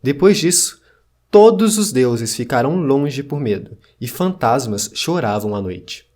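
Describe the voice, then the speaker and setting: A solemn ancient survivor of a fallen dynasty, acted by a man, recounts old lore in a mid-pitched voice. The delivery is formal, scripted audio-drama storytelling, grave and measured in tone. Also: expressive, darkish tone, lightly acted.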